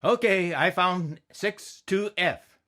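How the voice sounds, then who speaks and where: A man's voice: sits high, slow, sharp tone, voice pushed up, exaggerated voice. A man voicing a dutiful, protective father who speaks firmly and in an orderly way in a storybook reading. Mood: satisfied.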